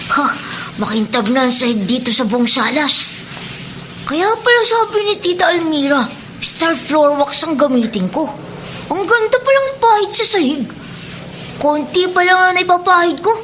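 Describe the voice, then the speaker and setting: Radio drama child role: reformed little Filipino street snatcher, very high voice, deferential, sincere, slight noise behind. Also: female voice, very animated, measured pace, sharp tone, exaggerated voice, rough recording. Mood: busy, courteous. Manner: polite, deferential. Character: earnest, polite adopted schoolchild, reformed young street snatcher named Mark.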